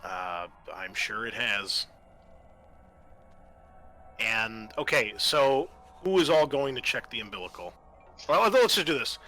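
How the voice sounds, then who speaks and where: A man acting as game master of a tabletop roleplaying game, talking in a halting, conversational and accommodating way. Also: mid-range voice, lively intonation, sharp tone, soft background music.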